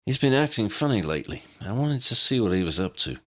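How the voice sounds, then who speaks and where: A man's voice: lowish voice, very animated, fast, a bit bright, pitched above the performer's own voice. A man voicing a laid-back, puzzled young wizard in a story, informal and wry. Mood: puzzled, impressed.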